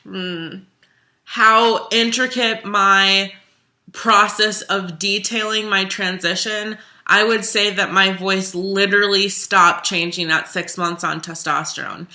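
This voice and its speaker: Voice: medium pitch, slow pace. A young adult singer in their twenties, talking openly and reflectively in a casual self-interview. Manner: open and expressive, with frequent restarts and fillers. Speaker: singer and musical theater major talking about their own transition.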